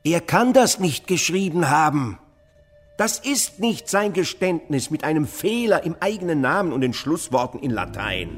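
An actor playing an earnest, anxious master printer in a historical German radio play, hesitant yet insistent. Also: male voice, a little high, sing-song, normal speed, faint music behind. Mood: earnest, troubled.

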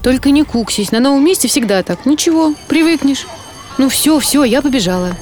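High-voiced, breezy young mother. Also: lively intonation, darkish tone, faint music behind. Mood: brisk, soothing. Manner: breezy, hurried, coaxing. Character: busy, brisk actress mother reassuring her young child. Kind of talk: radio drama acting.

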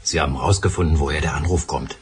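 An actor playing a dutiful police sergeant with a dry, matter-of-fact manner in a German radio play. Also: male voice, very deep voice, fairly even intonation, fast talker, a little hiss or hum. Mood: dry, businesslike. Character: dutiful, dryly humorous male police sergeant.

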